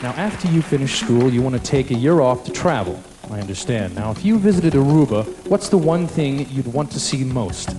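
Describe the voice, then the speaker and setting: A man's voice: medium pitch, expressive, a little fast, hardly any pauses. A man hosting a live televised pageant in a large indoor arena, interviewing contestants in an upbeat way. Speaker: pageant host. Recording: roomy arena sound with loud music and crowd.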